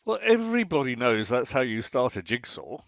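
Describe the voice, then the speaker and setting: A man's voice: medium pitch, very animated, a little fast, gravelly, a bit bright, highs cut off, slight background noise. A man acting a grumbling, sarcastic but affectionate husband in a naturalistic radio play, dry and exasperated in manner.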